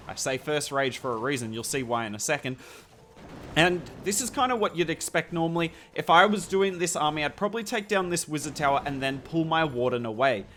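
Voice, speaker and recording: Hoarse young man commentating gameplay. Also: on the high side, a bit bright, a little hiss or hum. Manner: enthusiastic, conversational, points things out to viewers. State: slightly hoarse voice. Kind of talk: strategy commentary over gameplay footage.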